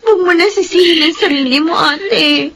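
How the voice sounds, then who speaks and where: A gentle younger sister in a radio drama. Her voice is very high and bright, earnest and concerned, with slight background noise. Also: normal speed. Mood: consoling. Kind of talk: Filipino radio drama acting. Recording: faint noise behind the voice.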